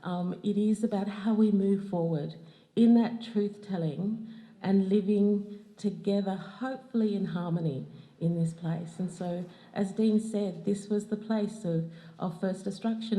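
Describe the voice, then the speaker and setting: An Australian woman giving a formal acknowledgement of country, speaking in an earnest, measured way. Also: medium pitch, dark tone. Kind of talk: formal ceremonial address. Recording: echoey hall.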